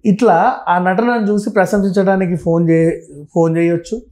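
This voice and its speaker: A man's voice: sits high, steady tone, normal speed, dark tone. A man giving opinionated, conversational commentary as a guest, emphatic and repeating phrases, mixing in English words. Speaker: film and political commentator, interview guest.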